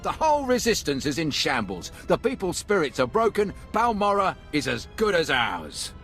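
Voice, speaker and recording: An actor playing a jubilant, fawning Imperial captain in a video game, gushing in formal military style. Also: male voice, on the high side, huge pitch swings, normal speed, fairly bright tone, faint music behind, full character voice. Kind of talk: video game cutscene acting.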